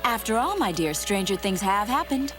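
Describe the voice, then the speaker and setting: A woman voicing a sensible, caring hedgehog wife and mother in a cartoon, chiding in a matter-of-fact, expressive way. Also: medium pitch, moderate pace, close to an everyday voice. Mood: encouraging, storytelling. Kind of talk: animated cartoon voice acting. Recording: music behind the voice.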